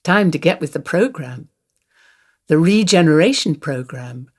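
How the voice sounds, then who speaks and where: A woman's voice: deep voice, expressive, measured pace, breathy edge, full character voice. An older woman acting a radio play monologue as a wistful, wry grandmother confiding her thoughts. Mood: wry, resolute. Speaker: actor reading a monologue. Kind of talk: radio play monologue, first-person storytelling.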